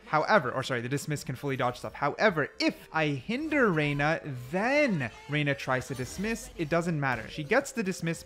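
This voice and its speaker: Guy doing chill informal game commentary, mid pitched voice, slangy, walks you thru it step by step. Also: a bit bright. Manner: informal, slangy, talks viewers through step by step. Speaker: gaming video host. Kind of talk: casual gameplay explainer commentary.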